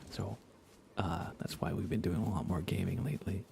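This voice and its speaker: A soft-spoken man chatting casually on an ASMR gaming livestream, relaxed and often slipping into a whisper. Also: sits low, moderate pace, gravelly, slight background noise. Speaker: livestreamer and host.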